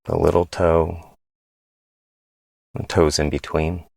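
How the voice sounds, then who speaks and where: Slow, low-voiced man guiding meditation. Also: little pitch movement, gravelly, dry recording, studio quality. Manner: gentle, unhurried, long pauses between phrases. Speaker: meditation guide. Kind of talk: guided body scan meditation.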